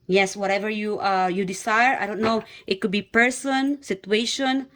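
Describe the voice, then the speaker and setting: A woman from the Philippines with a Filipino accent gives a casual tarot reading to her viewers, pausing often with fillers and repeating herself. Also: medium pitch, steady tone, a bit bright. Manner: hesitant, many fillers and repeats, speaks directly to viewers. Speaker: tarot reader.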